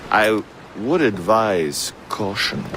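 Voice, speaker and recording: Male naval officer, formal, gracious, animated intonation, video game cinematic, noisy boat ambience. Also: on the low side, slow pace. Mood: courteous. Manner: formal, polite. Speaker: game character actor.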